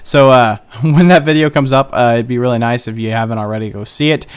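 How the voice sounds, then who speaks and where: A man's voice: medium pitch, fairly even intonation, brisk, clean tone. A young man casually narrating his own computer game play, rambling and joking at himself as he goes. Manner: chatty, rambling, self-joking. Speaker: gaming channel host. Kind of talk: casual Let's Play commentary.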